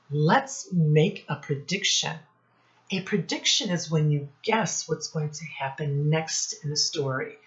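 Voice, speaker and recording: A woman teacher reading a storybook aloud to young children in a deliberate, instructive way, pausing to ask them questions. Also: low-pitched, muffled recording.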